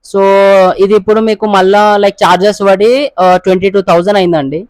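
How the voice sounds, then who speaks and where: High-pitched, fast-talking young man. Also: steady tone, a little mellow, dry recording. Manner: mixes English words into casual speech. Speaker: prank caller and video host. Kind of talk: casual prank phone chat.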